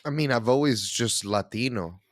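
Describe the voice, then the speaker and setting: A man's voice: mid-range voice, moderate pace, no echo, clean studio sound. A man chatting casually as a panelist on a podcast. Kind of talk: casual chat.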